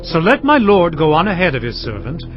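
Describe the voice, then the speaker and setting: A man's voice: fairly high pitch, wildly swooping pitch, a little fast. A man playing a humble, courteous herdsman in a dramatized Bible, speaking in a deferential, earnest way. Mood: deferential pleading. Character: humble, courteous herdsman father placating his brother. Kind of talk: dramatized audio Bible acting. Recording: dull sound, light music behind.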